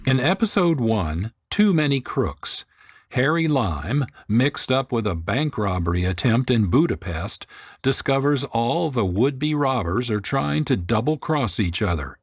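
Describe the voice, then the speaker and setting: A man presents a scripted radio introduction as host. His mid-pitched voice moves with lively intonation, recorded dry and close. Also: measured pace, dry recording. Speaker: host and producer.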